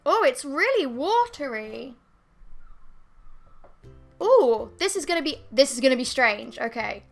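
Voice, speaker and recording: A young woman in her twenties, vlogging a makeup review at her desk at home, her voice a bit croaky from being ill. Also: high voice, big pitch swings, a little slow, soft background music. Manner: chatty, rambling, self-deprecating. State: slightly croaky from illness. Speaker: beauty vlogger. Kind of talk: casual makeup review to camera. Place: bedroom with bookshelves, indoors. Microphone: fixed camera at desk.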